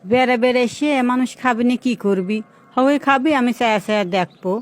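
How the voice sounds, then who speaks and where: A woman's voice: on the high side, brisk, lightly acted. Demanding, nagging cartoon wife with Pabna accent woman acting. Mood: impatient, nagging. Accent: Pabna, Bangladesh. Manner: nagging, blunt. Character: demanding, greedy, bossy wife who loves eating. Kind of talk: comic cartoon dubbing in dialect.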